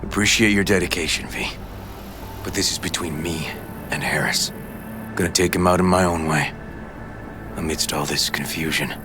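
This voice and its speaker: An actor playing a grim, weary ex-detective bent on revenge in a video game, terse and hushed. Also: male voice, low voice, steady tone, normal speed, long pauses, music in the background, natural voice. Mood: grim resolve. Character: grim, vengeful male ex-detective, weary and brooding. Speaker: former detective. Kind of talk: dramatic video game acting.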